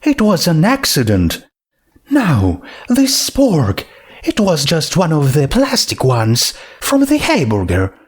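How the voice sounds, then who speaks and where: A man's voice: on the high side, huge pitch swings, slow, breathy edge, pitched above the performer's own voice, heavily acted. A man voicing a dramatic, genteel female pony shopkeeper with a theatrical manner in a story reading. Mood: hesitant, probing. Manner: theatrical, says "darling". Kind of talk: fan fiction character reading.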